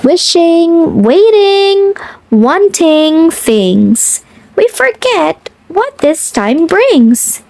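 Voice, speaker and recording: A woman's voice: very high-pitched, very animated, very slow, vocal fry, a little mellow, no room sound. A woman reading a children's picture book aloud in a playful sing-song way, adding chatty little asides. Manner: chuckles often between lines. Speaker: children's read-aloud host.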